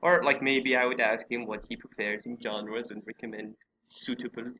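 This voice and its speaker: A man with a bright, forward voice hosts a casual podcast chat. He stammers and repeats words as he feels for his point. There is light noise on his mic. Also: mid-range voice, steady tone, normal speed, lo-fi sound. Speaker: podcast host.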